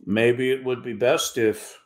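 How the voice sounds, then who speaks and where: A man voicing a gruff, folksy middle-aged woman librarian in a tabletop game. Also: medium pitch, steady tone, slow pace, natural voice. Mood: folksy, blunt. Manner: folksy and blunt.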